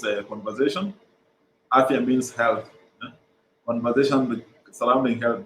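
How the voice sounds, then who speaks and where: A young Kenyan man whose first language is Swahili, earnestly explaining his organization's programs over a video call, with frequent "uh" pauses. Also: mid-range voice, echoey room, a little hiss or hum, rough recording. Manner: earnest, many "uh" pauses, repeats phrases. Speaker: guest, mental health organization co-founder.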